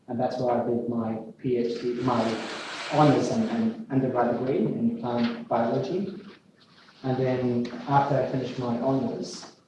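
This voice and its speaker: A man's voice: medium pitch, steady tone, unhurried. Guy giving a research seminar, eager, keeps repeating words, big echoey room, faint hiss. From Iraq. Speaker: plant pathology researcher. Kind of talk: academic seminar talk. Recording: echoey room, distant mic, faint noise.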